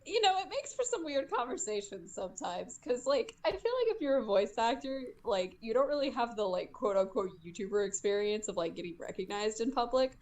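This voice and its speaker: Lively high-pitched young woman guest. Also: brisk, few pauses. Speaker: interview guest, voice actor. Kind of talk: casual chat.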